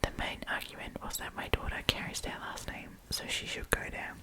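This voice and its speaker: A woman hosting an ASMR storytime, reading online stories aloud in a soft, breathy whisper. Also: fairly quick. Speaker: host of an ASMR storytime channel.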